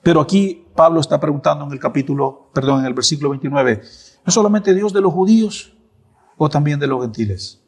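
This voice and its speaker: Man, thirties, pastor preaching in a church, fairly high voice, rhetorical questions with firm answers. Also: fairly quick, a little mellow, slightly rough. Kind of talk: sermon.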